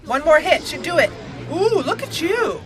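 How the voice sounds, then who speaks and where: A woman's voice: high-pitched, very animated, normal speed, a crowd in the background. A woman hosting a roleplay game playthrough, giving casual, chatty, playful commentary. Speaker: gaming channel host.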